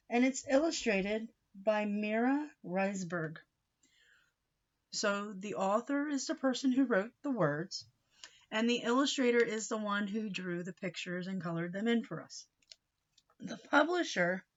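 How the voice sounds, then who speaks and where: A woman's voice: mid-range voice, lo-fi sound. A woman reading a picture book aloud for young children, explaining as she goes and putting questions to her listeners. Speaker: read-aloud teacher.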